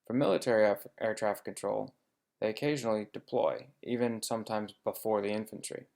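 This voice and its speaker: A man with a fairly low voice and a heavy creak walks through an informative slide talk. He explains things in plain, practical terms, like someone who does the job himself, with a little dry humour. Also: fairly even intonation, fairly quick, a little mellow. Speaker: air traffic controller giving a presentation.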